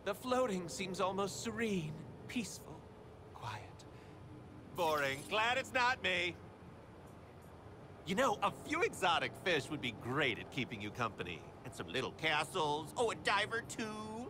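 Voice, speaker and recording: A man's voice: high voice, sing-song, measured pace, background noise. An actor playing a flamboyant, flippant male rogue in a video game, wry and theatrical.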